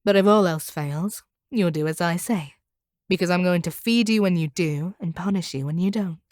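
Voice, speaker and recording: An actress voices a hardened monster hunter woman in a scripted audio roleplay. Her voice sits a little low and is recorded very dry and close. She talks down to her captive with measured, domineering authority and a proud, bitter edge. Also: a little mellow, no room sound, close to an everyday voice. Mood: cold, menacing.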